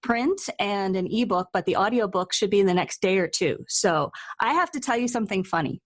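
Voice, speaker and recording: A woman's voice: mid-range voice, expressive, brisk. Female podcast host with mid-range voice. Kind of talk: casual interview chat.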